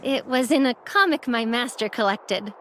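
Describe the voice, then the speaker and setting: A woman voicing an earnest, devout young emissary in an anime game, with a dramatic, expressive delivery. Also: fairly high pitch, moderate pace, background noise, natural voice. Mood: explaining.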